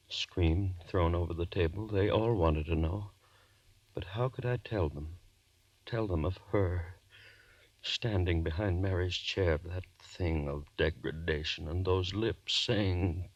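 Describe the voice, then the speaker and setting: A man's voice: deep voice, darkish tone, no room sound, dull audio. An actor playing a tormented, anxious university man in a melodramatic 1940s radio horror drama. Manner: melodramatic, brittle nervous laughter and stammered repeats. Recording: vintage 1940s radio recording.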